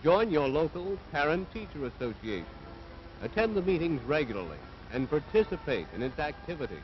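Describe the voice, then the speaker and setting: A man's voice: a little high, big pitch swings, fairly quick, soft background music. An American man delivering scripted 1940s propaganda film narration in an earnest, authoritative, moralizing manner. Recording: dull vintage 1940s film soundtrack.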